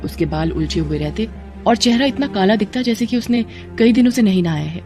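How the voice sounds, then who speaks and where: A woman's voice: medium pitch, animated, fairly quick, mellow. A woman narrating a scripted moral story in a dramatic storytelling style. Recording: music bed behind the narration.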